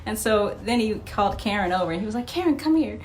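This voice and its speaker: A middle-aged woman telling casual, giggly anecdotes as a vlog host, acting out quotes in an animated way. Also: medium pitch, fast, a little hiss or hum. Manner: giggly, animated when quoting people. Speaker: vlog host and memoir author.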